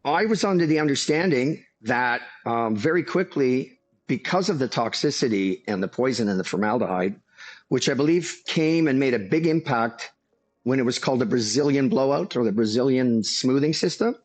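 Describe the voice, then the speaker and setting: A man who is a master hair stylist and salon owner, talking as an interview guest in a measured, storytelling way. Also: medium pitch, lively intonation. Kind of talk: casual interview talk.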